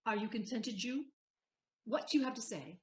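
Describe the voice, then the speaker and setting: A woman acting a shrewd, poised young lawyer in a Shakespearean audio play, speaking formally and deliberately with a teasing edge. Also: on the high side, expressive, a little mellow, roomy sound, slight background noise, close to an everyday voice. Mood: composed, formal. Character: shrewd, poised Portia disguised as a male lawyer.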